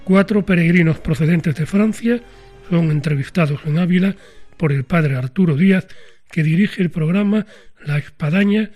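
A man reading a formal news voice-over in a clear way. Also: a little high, normal speed, a little mellow, soft background music. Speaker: news narrator. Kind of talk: scripted voice-over narration.

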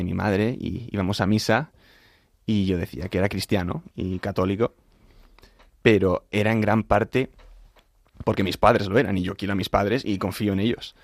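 A man's voice: fairly low pitch, creaky, dry recording, studio quality. A young man with a Madrid accent giving an informal personal account as an interview guest, often repeating and restarting his phrases. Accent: Madrid Spanish. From Madrid, Spain. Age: young adult. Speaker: interview guest, composer and singer studying at university. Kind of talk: informal spoken testimony in an interview.

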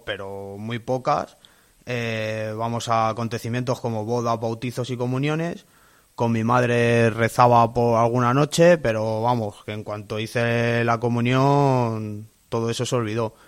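Man, 20s, guest giving a testimony, plain and hesitant, steady medium pitch. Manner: plain and hesitant, lots of fillers. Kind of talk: personal testimony.

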